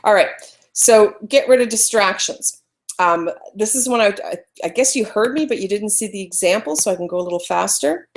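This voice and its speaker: A woman's voice: mid-range voice, expressive, normal speed. A woman hosting a live photography webinar, chatting through screen-sharing trouble on a computer mic. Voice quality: loud voice. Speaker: webinar presenter teaching photography. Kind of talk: casual live webinar teaching.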